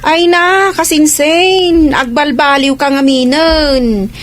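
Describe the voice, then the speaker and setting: A woman's voice: sits high, slow pace, a little hiss or hum. A woman with an Ilocano accent playing a concerned village cousin, pleading and admonishing in a radio comedy drama.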